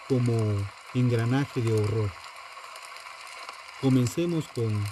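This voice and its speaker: A narrator reading a scripted true-crime account in a measured, dramatic way, in short punchy phrases. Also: medium pitch, noisy background.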